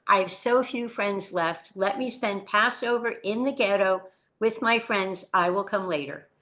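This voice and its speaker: A woman Holocaust educator, giving an earnest lecture that tells rescue stories and drops quietly at somber moments. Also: medium pitch, a little slow. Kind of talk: lecture presentation.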